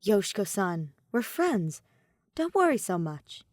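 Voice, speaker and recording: A woman voicing a composed, mature teenage girl who speaks politely and formally in a measured way. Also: mid-range voice, sing-song, a little mellow, no echo, studio quality. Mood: calm, polite.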